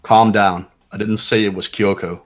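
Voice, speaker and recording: A man's voice: fairly low pitch, fairly even intonation, normal speed, close to an everyday voice. A man playing a cool, analytical student in a fan-voiced visual novel, composed and authoritative. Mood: stern, reasoning. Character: cool, commanding, analytical teenage male student.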